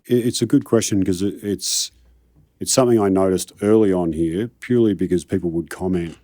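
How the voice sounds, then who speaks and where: Australian man, middle-aged, low voice, rambling casual storyteller. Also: a little fast, mellow, dry recording, clean studio sound. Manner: rambling, self-deprecating, many restarts. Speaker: high-performance coach, guest.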